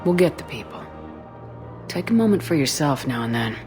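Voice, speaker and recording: An actress playing a steady, direct human soldier in a video game, with restrained delivery. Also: female voice, low voice, moderate pace, soft background music. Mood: calm, probing. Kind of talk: scripted game dialogue.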